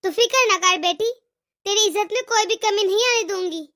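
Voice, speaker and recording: Woman voicing a very high-pitched domineering cartoon mother-in-law. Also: fairly quick, a bit bright. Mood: reassuring, proud. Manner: scolding, emphatic rhetorical questions. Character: domineering older mother-in-law, sharp-tongued, doting on daughter. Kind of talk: animated family drama dialogue.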